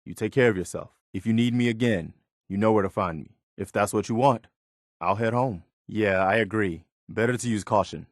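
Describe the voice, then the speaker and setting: A man's voice: on the low side, normal speed, no room sound, studio quality, lightly acted. A man playing an earnest, loyal militia officer in a video game, speaking sincerely and plainly with a sense of duty. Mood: cooperative, calm. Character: earnest, steadfast militia officer, loyal adult male companion. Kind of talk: acted video game dialogue.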